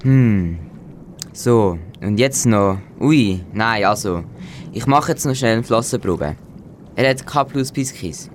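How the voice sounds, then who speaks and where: A man's voice: on the low side, expressive, slow, slight background noise. A teenage boy playing a busy, officious long-nosed fish doctor in an amateur school radio play. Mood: businesslike.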